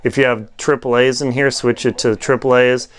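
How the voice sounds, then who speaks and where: A man giving a casual, unscripted product review, talking in a halting way as he thinks aloud while demonstrating. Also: mid-range voice, fairly even intonation, clean tone.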